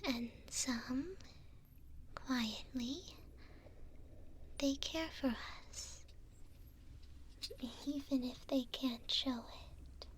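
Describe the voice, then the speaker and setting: A woman playing a gentle, hopeful imprisoned elf in an ASMR roleplay, speaking tenderly in a soft, breathy whisper close to the mic with pauses between short phrases. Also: sits high, a little hiss or hum. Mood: calm, reflective. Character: gentle, hopeful female elf prisoner in a dungeon. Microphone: close binaural microphone.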